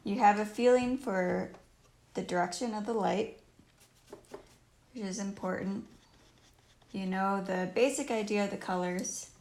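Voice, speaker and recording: A woman with a croaky, creaky voice gives a casual painting tutorial at an unhurried pace. She thinks aloud in short phrases as she works. The live stream sounds roomy. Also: mid-range voice, a little mellow. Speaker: painter teaching on a livestream. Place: indoors at home, live stream while painting. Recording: roomy live stream with faint noise and clicks.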